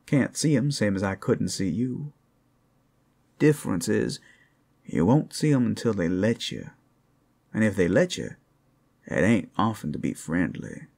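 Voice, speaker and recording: A man playing a flirtatious, rowdy but friendly werewolf in an ASMR roleplay, with a gravelly, husky voice and a folksy, teasing drawl. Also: lowish voice, sing-song, unhurried, pauses often, a little mellow, exaggerated voice. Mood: hushed, wary.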